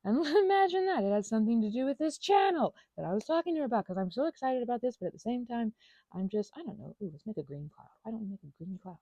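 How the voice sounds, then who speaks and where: Woman doing a scattered improvised ramble, soft mellow voice that swings up and down, slightly muffled audio. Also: a little high, lively intonation, fast, no echo. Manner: scattered, self-deprecating, playful, trailing off into tangents and asides. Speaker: audio channel creator. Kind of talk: improvised stream-of-consciousness ramble while finger painting.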